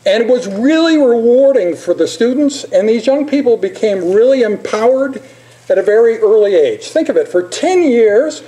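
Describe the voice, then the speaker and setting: A man giving an informal, anecdotal presentation as a physician, speaking in a halting, digressive storytelling way. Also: very high voice, animated, warm tone, poor audio.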